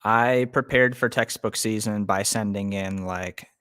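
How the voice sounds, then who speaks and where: A young man hosting a casual live stream, chatting loosely with viewers and filling pauses with lots of ums. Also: on the low side, no echo, studio quality. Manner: relaxed, many ums, greets chat viewers. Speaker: livestream host. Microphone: webcam setup.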